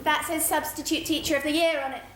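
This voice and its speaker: A woman's voice: sits high, fairly even intonation, fast talker, a crowd in the background, natural voice. A woman playing an earnest, naive, lovesick boy in a stage pantomime, with a hesitant manner. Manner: hesitant, exasperated. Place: theatre stage before a live audience. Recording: echoey, very noisy theatre stage recording.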